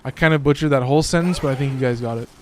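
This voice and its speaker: A man with a slightly hoarse, raspy voice, giving loose and profane casual commentary while streaming a game. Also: medium pitch, very fast, slight background noise. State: a little hoarse. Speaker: gaming streamer.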